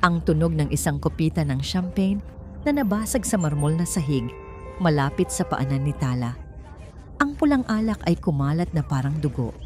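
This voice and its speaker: A woman with a low voice narrates a romance drama over music. Her delivery is measured and melodramatic, in the manner of scripted storytelling. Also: animated, dark tone. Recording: music behind the voice. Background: background music.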